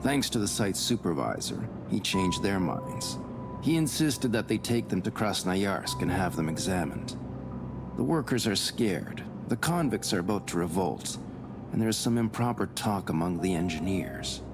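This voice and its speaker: A man acting an earnest, superstitious, deferential subordinate in a visual novel set in 19th-century Russia, speaking formally and in a measured way. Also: low-pitched, very animated, over music. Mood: earnest concern.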